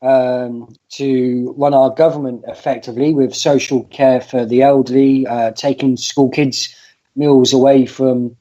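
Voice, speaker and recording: A man's voice: mid-range voice, level tone, unhurried, dark tone, lo-fi sound. A man on a political talk show, speaking hesitantly with many filler sounds between phrases. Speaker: podcast co-host. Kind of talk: casual political discussion.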